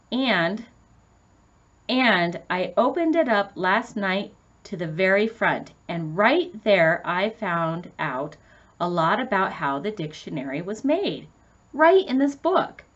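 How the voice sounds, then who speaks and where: A woman's voice: medium pitch, sing-song, measured pace, lo-fi sound. A woman voicing an earnest schoolboy giving an oral report in a storybook, in a plain manner. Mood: earnest, deliberate.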